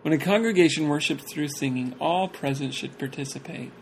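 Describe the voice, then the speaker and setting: A man's voice: on the high side, a little fast, a little hiss or hum, poor audio. A man reading a church talk aloud and chatting casually about it, breaking into jokes and laughter between passages.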